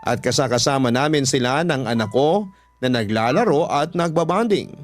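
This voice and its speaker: A man's voice: medium pitch, lively intonation, normal speed, faint music behind. A man with a Filipino accent, a radio host reading a listener's letter as scripted story narration.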